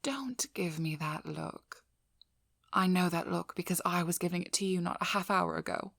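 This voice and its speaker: Female vampire hunter played by a woman for ASMR role-play: low, husky, breathy, guarded and firm, close on the mic. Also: fairly bright tone, dry recording. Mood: weary, dry. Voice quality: breathy, mostly whispered. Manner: slow, soothing instructions, firm and controlled. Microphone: close ASMR microphone.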